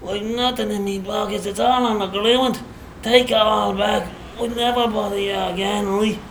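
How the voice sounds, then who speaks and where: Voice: mid-range voice, fairly even intonation, moderate pace, natural voice. An actor playing a wheedling, cowardly street chancer in a drama, with an Irish Dublin accent and a colloquial, pleading way of talking. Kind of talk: acted dramatic sketch. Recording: echoey, noisy recording with ambience.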